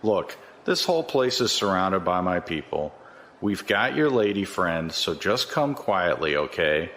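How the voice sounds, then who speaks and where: An actor in a science fiction audio drama playing a cocky, folksy, corrupt security chief who talks in a chatty, colloquial way. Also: male voice, low-pitched, big pitch swings, measured pace, fairly bright tone, slight background noise, exaggerated voice. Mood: smug, threatening.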